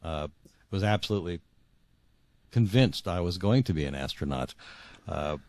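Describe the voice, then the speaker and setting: Male, 60s, deep voice, dry sound. Thoughtful author guest, conversational, searches for words as he goes. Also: expressive, darkish tone, dry recording. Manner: earnest, halting, repeats words as he searches for phrasing. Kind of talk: reflective conversational interview.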